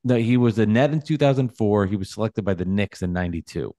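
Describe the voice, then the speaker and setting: A man's voice: lowish voice, animated, fast talker, warm tone, no echo, studio quality. A man in his forties hosting a casual sports podcast, teasing his co-hosts and running a trivia quiz. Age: 40s. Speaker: podcast host.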